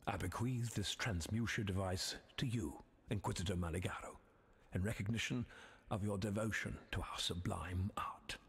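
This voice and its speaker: A man playing a pompous imperial scholar of arcane arts in a video game, ceremonious and formal in a hushed, husky voice. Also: lowish voice, normal speed, slight background noise. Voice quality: whispery, husky. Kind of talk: scripted game dialogue, formal dedication.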